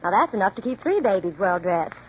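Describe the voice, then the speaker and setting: A woman's voice: mid-range voice, sing-song, fast, husky. A woman with an American accent doing a scripted 1940s radio commercial as a chatty spokeswoman. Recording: muffled old radio broadcast, hiss.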